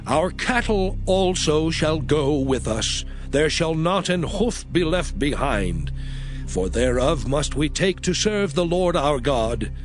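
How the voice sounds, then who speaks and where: An actor playing Moses, an earnest prophet, delivering slow, impassioned speeches. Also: male voice, on the high side, lively intonation. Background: music.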